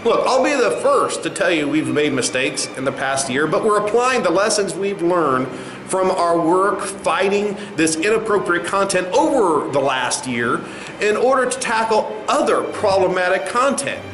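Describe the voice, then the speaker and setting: A man playing a smug, condescending corporate spokesman in a satirical comedy sketch, patronizing and given to halting asides. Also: high-pitched, animated, a bit bright, slight background noise. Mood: defensive, smug. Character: smug, condescending male corporate spokesman addressing angry protesters. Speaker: company spokesman. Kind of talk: satirical sketch, mock press conference. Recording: roomy echo, faint music behind.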